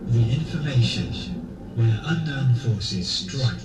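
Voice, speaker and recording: A man's voice: medium pitch, lively intonation, takes it slowly, background noise. A man announcing a dramatic scripted intro tagline for a programme. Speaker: announcer of the show's ident. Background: prominent music.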